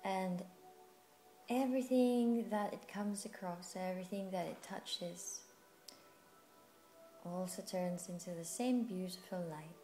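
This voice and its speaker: Woman, medium pitch, yoga teacher, guided meditation, soothing, unhurried, long pauses, background music. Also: warm tone. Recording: music playing behind the voice.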